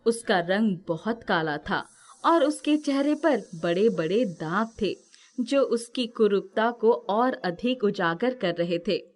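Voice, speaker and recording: A woman's voice: mid-range voice, animated, takes it slowly. A woman narrating a scripted moral fairy tale as a storyteller.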